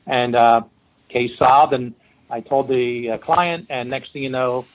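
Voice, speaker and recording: A man's voice: mid-range voice, fairly even intonation, measured pace, a little hiss or hum, poor audio. Rambling Philadelphia man, casual storyteller. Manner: rambling, many fillers and restarts. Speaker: forensic linguist guest.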